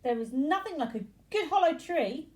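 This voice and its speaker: Woman as a quarrelsome, high, shouting bee. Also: lively intonation, normal speed. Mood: insistent. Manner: shouting, buzzing fiercely. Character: big, quarrelsome, opinionated rebel bees.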